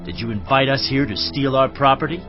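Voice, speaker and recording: An actor playing a menacing Philistine companion in a dramatized scripture production, pushy and demanding. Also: male voice, mid-range voice, brisk, natural voice. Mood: threatening. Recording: dull lo-fi audio, music behind.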